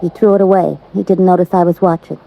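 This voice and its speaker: A woman's voice: fairly low pitch, fairly even intonation, fast talker, creaky. An actress playing an earnest, anxious young American woman, loyal and pleading, in a 1950s radio drama. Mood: troubled. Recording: muffled, hissy vintage radio recording.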